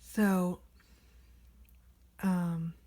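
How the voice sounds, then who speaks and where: Raspy woman rambling on a vlog, stuffy and hoarse from a cold, slow and mellow, lots of whispery bits. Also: lowish voice, flat delivery. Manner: soft-spoken, often drops to a whisper. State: recovering from a cold, nasal and hoarse. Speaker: vlogger showing her doll collection. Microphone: handheld camera without tripod.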